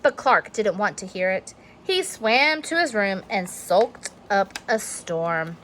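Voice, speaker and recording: Animated woman reading a children's story. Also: medium pitch, slow, slight background noise. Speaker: storybook reader. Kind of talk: expressive children's read-aloud narration.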